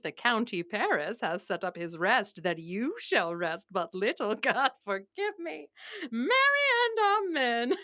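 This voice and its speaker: A woman playing a bawdy, doting nurse in a classical Shakespeare play, loud and theatrical, with many exclamations. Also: high voice, full character voice. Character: bawdy, doting female nurse and servant, turning to wailing. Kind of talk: classical Shakespearean stage acting.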